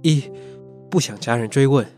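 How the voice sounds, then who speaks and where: Guy playing grumpy standoffish school boy, middle pitch, curt and reserved, audio drama. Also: normal speed, mellow, faint music behind, natural voice. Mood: aloof. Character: cool, aloof, sharp-tongued loner high-school boy. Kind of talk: Mandarin audio drama acting.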